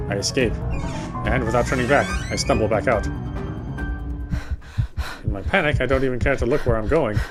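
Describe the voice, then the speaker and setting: A man narrating a visual novel aloud in a dramatic storytelling style as he plays. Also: medium pitch. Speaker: gamer reading the game text aloud. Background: faint game music.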